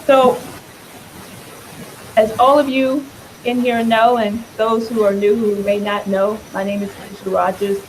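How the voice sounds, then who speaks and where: A hoarse, raspy-voiced woman speaking to a room as a political candidate. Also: medium pitch, a little mellow, slight background noise, poor audio. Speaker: congressional candidate. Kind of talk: campaign speech.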